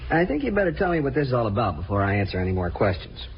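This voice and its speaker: An actor playing a cagey, irritable out-of-work performer under police questioning, brusque and guarded, in 1950s radio drama style. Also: male voice, medium pitch, sing-song, very fast. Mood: defensive, irritable. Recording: muffled, hissy old radio recording.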